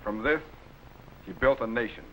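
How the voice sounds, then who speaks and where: An actor playing an authoritative space captain and mentor, formal and didactic, in 1950s children's television style. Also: male voice, mid-range voice, moderate pace, fairly bright tone, lightly acted, poor audio. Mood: earnest, admiring. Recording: vintage TV film soundtrack, faint noise.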